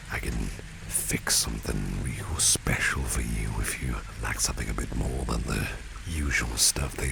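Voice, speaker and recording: A man playing a protective, flirtatious boss in an ASMR roleplay, speaking softly and suavely in a gravelly, breathy near-whisper. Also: very deep voice, full character voice. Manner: slow, suave, soft-spoken. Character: protective, flirtatious male boss, smooth and courtly. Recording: steady rain noise behind the voice. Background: rain and street ambience.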